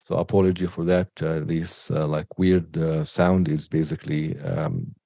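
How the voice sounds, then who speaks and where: Low mellow guy teaching a class, has a cold, lots of ums, dry sound. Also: level tone, unhurried, vocal fry, no room sound. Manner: hesitant, many ums and restarts. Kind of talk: unscripted online lecture.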